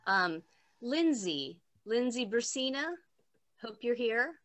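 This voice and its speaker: A woman moderating an online panel, introducing speakers with brisk, friendly remarks over a video call. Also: a little high, lively intonation, a bit bright.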